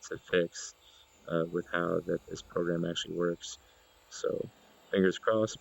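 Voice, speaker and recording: Low, gravelly man giving a demo. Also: a little mellow. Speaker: gaming video creator demonstrating software. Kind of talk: informal demonstration narration. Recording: dull lo-fi voice recording.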